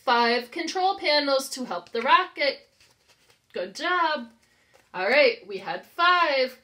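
A woman's voice: sits high, expressive, takes it slowly, sharp tone. An American woman in her thirties, a preschool teacher reading aloud to young children with simple, encouraging phrasing and questions. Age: 30s. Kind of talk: interactive read-aloud lesson for young children.

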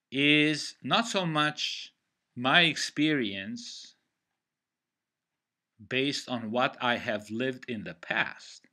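An older man from Romania with a Romanian accent, giving an online literature lecture over a headset on a video call from home, in a measured, deliberate way. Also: medium pitch, bright, forceful tone. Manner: measured, deliberate explaining with pauses. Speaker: literature teacher. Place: home room.

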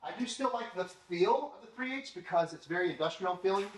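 A man's voice: high voice, expressive, fairly quick, rough recording. A young man hosting a car-care channel, casually explaining as he works with his hands in a home garage, talking to a camera mic while he moves around. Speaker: car detailing channel host. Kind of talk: casual product demo. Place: home garage with tiled floor.

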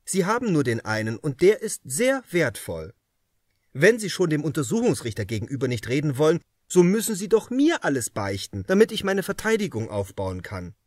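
An earnest young defence lawyer from a crime audiobook, acted by a male reader. He pleads urgently and in exasperation, with a lightly high, very animated voice. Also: normal speed, dry recording, studio quality. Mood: pleading.

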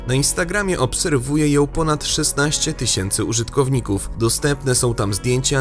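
A man reading a scripted news voice-over in an even, formal newsreader manner. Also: mid-range voice. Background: soft background music.

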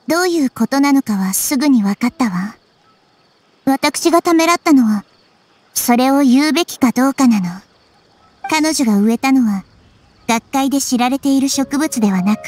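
A woman voicing a small, wise, gentle childlike goddess in an anime game, speaking in a measured, thoughtful way. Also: high-pitched, lively intonation. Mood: thoughtful, troubled. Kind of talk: Japanese anime game voice acting. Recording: studio voice over game music.

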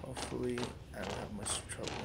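A man's voice: medium pitch, fairly even intonation, quick pace, vocal fry, very noisy. A young American man giving casual, slangy, profane commentary as he plays a game at home. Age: young adult. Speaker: gamer. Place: home room. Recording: loud game audio behind voice. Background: loud horror game music.

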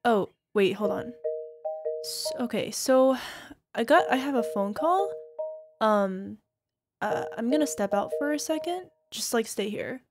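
Slow-talking, scornful toxic girlfriend played by a woman. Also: a little high, animated, music in the background. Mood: flustered, evasive. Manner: exasperated sighs, scornful, halting defensive delivery. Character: toxic, gaslighting young cheating girlfriend, dismissive and shameless. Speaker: voice actor. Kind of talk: ASMR girlfriend roleplay, realistic audio drama.

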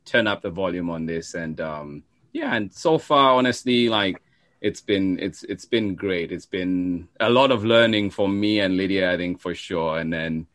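Male storytime host as interview guest, low voice, informal conversational delivery. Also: animated, measured pace, a bit bright. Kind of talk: casual conversational interview talk.

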